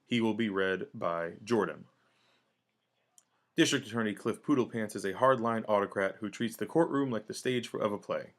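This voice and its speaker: Low-voiced man reading stage directions. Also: moderate pace. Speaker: host and narrator of a table read.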